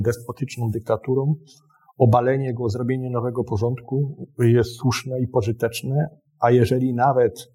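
A male historian explains in formal, halting sentences, often correcting himself. His voice is mid-pitched, soft and dark, with a husky edge. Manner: hesitant, formal phrasing with self-corrections. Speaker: historian guest. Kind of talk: explanatory interview talk.

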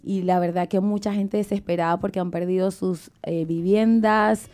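A woman with a soft-edged, mellow tone. She co-hosts live radio in a chatty, descriptive style, speaking straight to her listeners at an average pitch. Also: steady tone, moderate pace, faint music behind. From Venezuela. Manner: chatty, descriptive, addresses the audience. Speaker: radio co-host. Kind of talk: casual live radio chat.

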